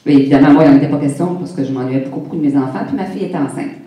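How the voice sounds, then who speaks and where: A woman's voice: deep voice, racing, mellow. A woman in her fifties from Quebec, with a Québécois French accent, telling her own story on stage in a candid, humorous way and speaking straight to the audience. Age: 50s. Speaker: conference speaker. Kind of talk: motivational personal story talk. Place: large hall with an audience. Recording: echoey hall, voice from a distance.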